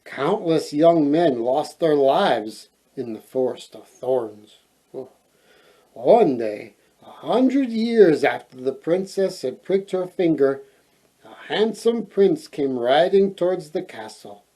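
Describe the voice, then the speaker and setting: Guy reading fairy tales for kids, slow and really animated, playful, jokey asides. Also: on the high side, husky. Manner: playful, expressive, chuckling at own asides. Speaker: storyteller, host. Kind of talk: children's fairy tale read-aloud with joking asides.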